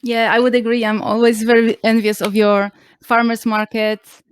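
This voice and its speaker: Woman podcast host asking questions, brightish high voice, dry close sound. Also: little pitch movement, moderate pace, clean tone, dry recording. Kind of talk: casual interview.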